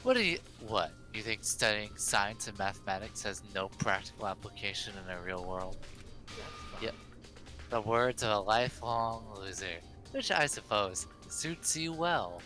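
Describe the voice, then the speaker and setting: Bright, forceful man bantering over a game. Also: lowish voice, lively intonation, unhurried, soft background music. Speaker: co-player reading character lines in a group playthrough. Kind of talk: casual banter and dialogue read-aloud.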